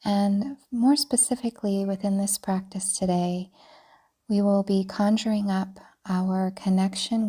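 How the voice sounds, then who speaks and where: A woman yoga teacher narrating a guided meditation in a soft, breathy, soothing way, deliberately, with pauses. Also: medium pitch. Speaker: yoga nidra teacher guiding a practice.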